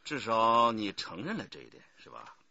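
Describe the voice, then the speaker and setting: An actor playing a stern, authoritative police detective chief in a radio crime drama. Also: male voice, lowish voice, wildly swooping pitch, normal speed, gravelly, pushed and bright, no echo, dull audio, heavily acted. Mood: stern, pressing. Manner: authoritative, pressing.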